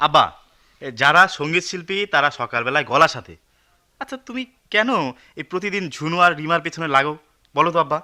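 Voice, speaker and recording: A man's voice: a little high, quick pace, sharp tone. A man playing an earnest, modern-minded young college son in a radio drama, reasoning persuasively with a Bangladeshi accent. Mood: earnest protest. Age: young adult.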